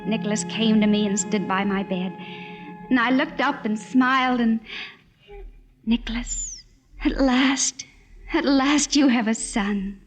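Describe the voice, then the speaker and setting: An actress playing an earnest, devoted and anxious young wife in a 1940s-style radio drama, speaking tenderly and often softly. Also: female voice, a little high, measured pace, pauses often, lightly acted. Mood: wistful, narrating. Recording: dull old radio recording, music behind.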